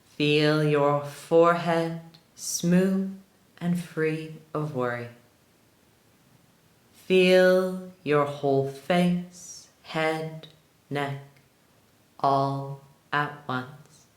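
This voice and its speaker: A woman leading a guided relaxation as a meditation teacher, soothing and unhurried, leaving long pauses between short phrases. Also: deep voice. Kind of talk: guided relaxation, yoga nidra narration. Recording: roomy, echoing sound.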